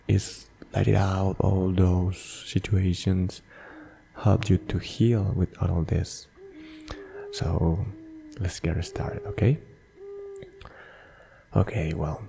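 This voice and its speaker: A man speaking in a soft-spoken ASMR style, gently and hesitantly guiding relaxation as a personal therapist. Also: deep voice, creaky. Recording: soft guitar music behind the voice.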